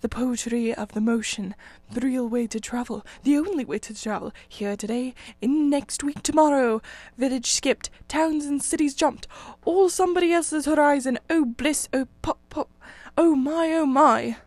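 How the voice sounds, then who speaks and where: Woman doing a rapturous, vain toad gentleman. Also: mid-range voice, a little slow, no echo, lightly acted. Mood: ecstatic. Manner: rhapsodic, in a dreamy monotone at times. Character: vain motor-mad male toad, grand and rapturous.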